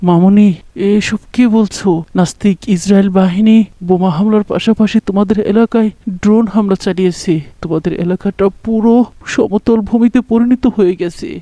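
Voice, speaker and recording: A man voicing a kind, gentle doctor in a cartoon, speaking softly and reassuringly. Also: high voice, steady tone, brisk, no echo, lightly acted. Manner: gentle, soothing. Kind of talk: cartoon voice acting.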